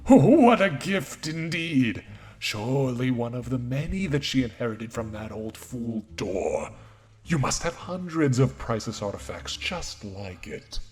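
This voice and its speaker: A man voicing a possessed old woman whose voice sounds unnaturally deep, echoing and whispery. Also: very animated, measured pace, darkish tone. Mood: menacing. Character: possessed old woman, menacing, hissing, otherworldly.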